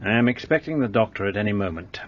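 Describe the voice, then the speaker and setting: An actor playing a brusque, arrogant police detective in a radio play, speaking in a curt, commanding way. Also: male voice, fairly low pitch, lively intonation, fast talker, lo-fi sound, slight background noise, natural voice.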